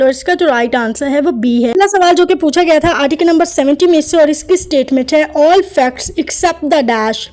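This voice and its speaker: A woman, tutoring online and reading out law exam questions, options and answers in a slow, deliberate way. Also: high voice, darkish tone. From Pakistan. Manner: slow, deliberate reading of legal text, brisker between questions.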